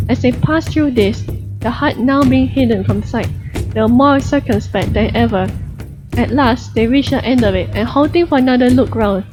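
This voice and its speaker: A woman reading a storybook aloud as a scripted narration. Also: fairly high pitch. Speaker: audiobook narrator. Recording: dull lo-fi recording, music behind. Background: background music.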